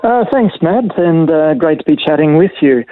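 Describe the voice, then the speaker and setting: A man's voice: a little high, animated, normal speed, no room sound. A middle-aged Australian man, a pastor, talking in a casual interview, hesitant and full of fillers, over a tinny phone line. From Brisbane, Australia. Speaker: pastor and guest.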